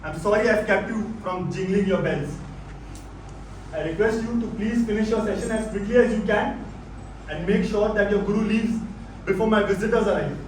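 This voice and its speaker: A man's voice: high-pitched, moderate pace, natural voice. A man with an Indian accent playing a stern, controlling old father-in-law in a stage play, authoritative and disapproving. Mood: cold, dismissive. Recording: very echoey, distant stage recording with noise.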